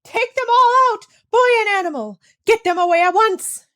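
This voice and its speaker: Woman performing a stern governess, storybook, imperious, high-pitched, wildly swooping, easily horrified. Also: lively intonation, normal speed, sharp tone, heavily acted. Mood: shrieking, outraged. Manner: imperious, commanding.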